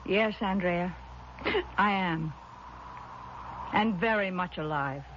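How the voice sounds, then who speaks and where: Slow, quietly resolute wronged sister. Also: female voice, lowish voice. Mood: composed, regretful. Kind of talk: 1970s radio drama acting. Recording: noisy, muffled vintage radio recording.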